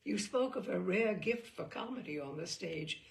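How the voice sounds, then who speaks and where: A woman reading a literary short story in the first person, measured and expressive, dwelling on phrases. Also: medium pitch, vocal fry.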